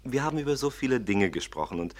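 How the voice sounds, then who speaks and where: An actor playing an ardent young gentleman in love in a radio play, earnest and impassioned. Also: mid-range voice, lively intonation, fast talker, lightly acted. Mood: tender, ardent. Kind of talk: German radio play acting.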